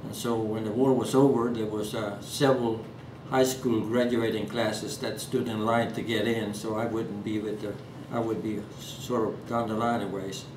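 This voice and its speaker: Old man, Norwegian accent, low-pitched voice, halting with restarts, roomy home recording, hiss behind. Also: flat delivery. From Norway. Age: 80s. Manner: halting, many fillers and restarts. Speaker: interviewee. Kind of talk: oral history interview. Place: living room at home. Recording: echoey room, distant mic, background hiss.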